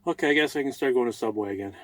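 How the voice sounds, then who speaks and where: A man's voice: medium pitch, very fast, fairly bright tone, no echo. A man with a folksy, rambling manner, chatting casually as a vlogger inside a truck cab. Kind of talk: casual vlog chat.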